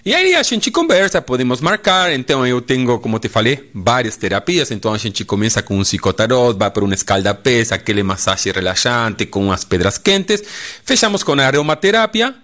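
Argentine man with a lively, bouncing voice. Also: medium pitch. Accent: Argentine Spanish. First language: Spanish. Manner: deliberate, lively intonation with frequent "né?". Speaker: holistic therapist guest. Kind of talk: radio interview. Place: radio studio.

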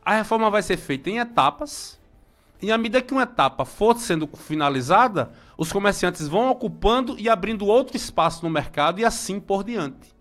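A Brazilian man in his forties giving casual local commentary, chatty and nostalgic, with frequent restarts and shout-outs to friends. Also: sits high. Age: 40s.

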